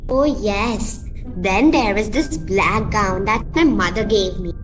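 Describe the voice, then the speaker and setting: A woman playing a gracious, composed countess with a polite, measured manner in an amateur radio play. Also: medium pitch, music in the background, close to an everyday voice.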